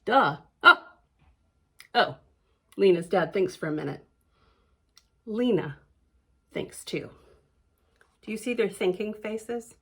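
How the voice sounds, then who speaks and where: A woman reading a children's picture book aloud with expressive, drawn-out words, whispered drops and chatty questions to young listeners. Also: medium pitch, slightly rough. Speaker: library storytime reader.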